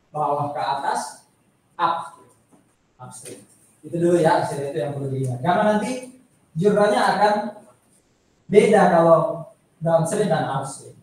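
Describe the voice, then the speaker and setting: A man's voice: fairly high pitch, darkish tone. A man with an Indonesian accent giving an informal lecture, repeating his points and posing questions to his listeners as he explains. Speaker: accounting lecturer. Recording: echoey room, distant microphone.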